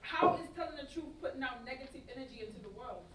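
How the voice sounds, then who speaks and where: Very high, brash, defiant young woman played by an actress. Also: lively intonation, fast. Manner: brash, sweary, combative. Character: brash, defiant, opinionated young woman, foul-mouthed online activist. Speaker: student actor in a staged reading. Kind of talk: live staged play reading, slangy dialogue. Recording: echoey live stage, distant mic, noisy audience.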